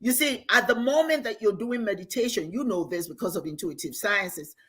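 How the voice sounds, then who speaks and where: A woman's voice: mid-range voice, animated, a little fast, slightly rough. Earnest older woman teaching. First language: Igbo. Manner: earnest, repeats key phrases for emphasis. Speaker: host and spiritual teacher. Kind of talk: livestream teaching on meditation.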